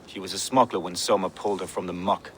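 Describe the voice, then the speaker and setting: A man's voice: deep voice, fairly even intonation, fast, a bit bright, a little hiss or hum. A man playing a devout, brooding Viking warrior in a video game, speaking like a solemn, fervent storyteller haunted by visions. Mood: measured. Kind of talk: dramatic video game character acting.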